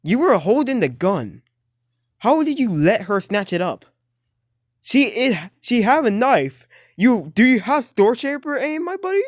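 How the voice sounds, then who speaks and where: A man's voice: very high voice, sing-song, a little slow. A man giving casual, joking reaction commentary in slangy speech, full of exclamations. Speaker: reaction video host.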